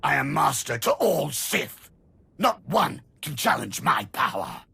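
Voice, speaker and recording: An actor playing an arrogant, wrathful immortal Sith master in a video game, with a harsh rasp and a menacing, grandiose delivery. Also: male voice, on the high side, big pitch swings, measured pace, pushed and bright, dry recording, heavily acted. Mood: enraged. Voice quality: harsh, rasping. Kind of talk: video game villain acting.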